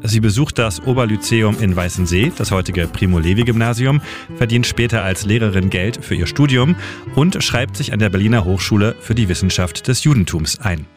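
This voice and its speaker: Low-voiced man narrating over music. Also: brisk. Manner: short, pointed sentences. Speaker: radio editor and city guide. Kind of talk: scripted storytelling narration.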